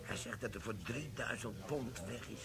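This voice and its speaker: An actor playing a sly, flirtatious army sergeant in a radio play. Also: male voice, fairly low pitch, moderate pace, natural voice. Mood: bantering. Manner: cheeky, flirtatious, conspiratorial. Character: sly scheming middle-aged army sergeant. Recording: pub chatter behind.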